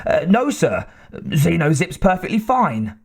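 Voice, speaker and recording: A man's voice: fairly high pitch, sing-song, measured pace, slightly rough, voice pushed up, exaggerated voice. Wyckoff, a man doing a nasal stammering scientist. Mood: nervous. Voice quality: nasal twang. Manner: stammering, timid. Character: nervous little male scientist, stammering.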